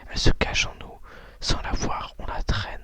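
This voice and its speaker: A woman whispering softly and breathily in an unhurried, hesitant ASMR style, as host of talk and poetry readings. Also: no room sound.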